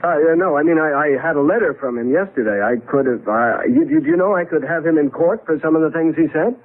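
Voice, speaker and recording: A male actor plays a shady, nervous businessman in a 1970s radio drama. His fairly high voice hesitates, stammers and dodges. The sound is boxy and narrow, like an old broadcast. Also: animated, fast, lightly acted. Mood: uneasy, defensive. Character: nervous, evasive crooked construction businessman. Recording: muffled vintage radio broadcast.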